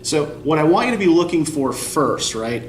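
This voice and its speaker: A man's voice: on the high side, sing-song, moderate pace, recorded from a distance, a little hiss or hum. A young man from Eastern Pennsylvania teaching a college writing class, talking casually, rambling and self-deprecating, often checking in with "right?". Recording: echoey classroom recorded from afar.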